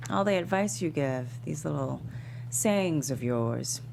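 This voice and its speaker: A woman playing a famous female talk show host, weary but warm and proud, in a screenplay table read. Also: low voice, animated, unhurried, vocal fry, background noise, exaggerated voice. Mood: sincere, weary.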